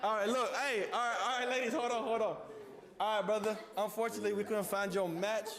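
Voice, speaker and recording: A man's voice: sits high, steady tone, fast, crowd noise. A man hosting a dating game in a played clip, laid-back and slangy, reassuring a contestant.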